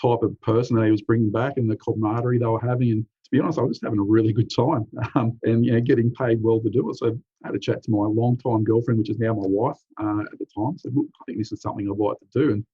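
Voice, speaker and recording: A man in his forties with an Australian accent, an easygoing veteran telling his story in a relaxed, chatty way. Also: mid-range voice, fast, few pauses, breathy edge. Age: 40s. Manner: easygoing, uses "mate", frequent "um" and "you know". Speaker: military veteran guest. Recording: dry, slightly dull lo-fi recording.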